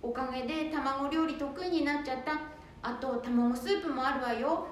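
A woman's voice: sits high, moderate pace, natural voice. A woman playing a polite, harried young wife looking after her in-laws in an amateur radio drama. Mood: gently complaining. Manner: polite, domestic, gently complaining. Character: polite, patient city-born daughter-in-law, harried housewife. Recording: very echoey room, faint noise.

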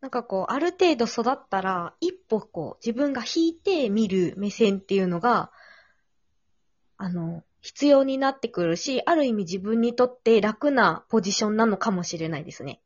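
A woman's voice: mid-range voice, expressive, moderate pace, no echo. A woman hosting a casual conversation, offering advice in polite, tentative, reflective phrasing. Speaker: podcast host. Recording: slightly dull lo-fi audio.

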